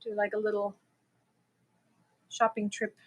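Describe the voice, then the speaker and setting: A woman's voice: mid-range voice, monotone, a little slow. A middle-aged woman from Germany, chatting casually with viewers as host of a crochet livestream and laughing easily. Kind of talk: casual livestream chat answering viewer comments.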